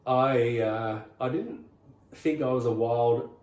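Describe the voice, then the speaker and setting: A man's voice: medium pitch, takes it slowly. A middle-aged man with an Australian accent tells his stories easily, drifting through "uh"s and restarts. His voice sits in an average register and carries a roomy echo. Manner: rambling, relaxed, many "uh"s and restarts. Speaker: rockabilly singer, guest. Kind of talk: casual interview storytelling.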